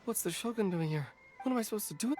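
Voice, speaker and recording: A man's voice: high-pitched, lively intonation, fast talker, darkish tone, soft background music. An actor voicing a nervous young snack vendor who stammers and apologizes while explaining his wares in a game cutscene. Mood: flustered.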